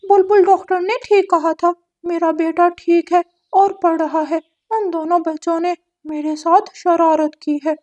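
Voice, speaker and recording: A woman's voice: extremely high pitch, little pitch movement, unhurried, few pauses, a little mellow, voice pushed up. A woman voicing an aggrieved, fussy uncle parrot in a cartoon, earnest and complaining. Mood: relieved, annoyed.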